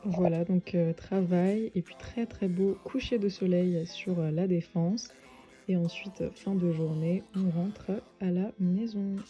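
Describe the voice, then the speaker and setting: A young woman talks her viewers through her student week in an easy, rambling way, full of little pauses and fillers. Her voice sits a bit low and sounds soft and mellow. Faint music runs behind her. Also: muffled recording. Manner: relaxed and chatty, many "euh" fillers. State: stuffy with a cold. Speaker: vlogger, finance master's student. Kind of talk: casual vlog narration.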